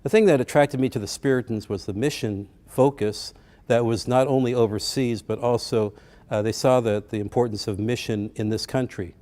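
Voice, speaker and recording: A man's voice: mid-range voice, fairly even intonation, normal speed, warm tone. A man explaining his views thoughtfully in a documentary interview.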